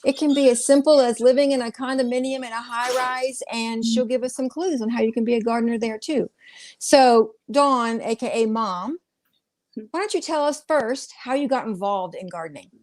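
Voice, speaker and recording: A woman with a fairly high voice co-hosts a live chat show. She talks casually and informally, as if among friends. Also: moderate pace, darkish tone. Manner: informal, chatty. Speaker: co-host.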